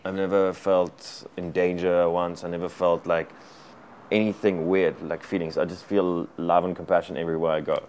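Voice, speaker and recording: A South African man with an Afrikaans accent, a native Afrikaans speaker, talking casually as an interview guest in an energetic, rambling way with many restarts. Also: low-pitched, moderate pace, slight background noise. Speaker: actor and director guest.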